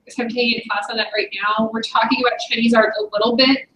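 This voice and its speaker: A woman's voice: a little high, little pitch movement, fast, sharp tone, slight background noise. A woman student making a point in a class discussion, casual and full of "like". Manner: casual, uses "like" often. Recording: echoey classroom, distant mic.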